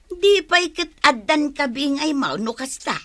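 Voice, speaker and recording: A woman's voice: sits high, expressive, a little slow, bright, forceful tone, full character voice. A woman with an Ilocano accent playing a nosy but obliging village woman in a radio comedy drama, chatty and full of questions. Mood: curious. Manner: questioning, conversational.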